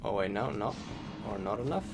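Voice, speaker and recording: A man's voice: lowish voice, expressive, fairly quick, gravelly. A man giving casual let's-play commentary on a video game in offhand asides. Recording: loud game music over voice. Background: loud video game music.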